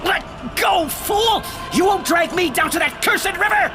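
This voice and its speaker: An actor playing a panicked, scolding doomed man in a video game, shrill and frantic. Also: measured pace, raised voice. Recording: music behind. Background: music.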